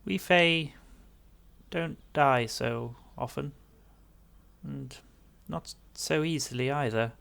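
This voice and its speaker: A man voicing a grave, formal fae guardian of a forest, speaking in a measured, earnest way. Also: mid-range voice, gravelly, fairly bright tone. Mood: grave, worried.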